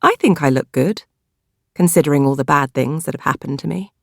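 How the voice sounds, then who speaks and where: A woman with a British accent narrates a novel in the first person, in a measured, expressive storytelling manner. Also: deep voice, gravelly, no echo, studio quality. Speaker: audiobook narrator.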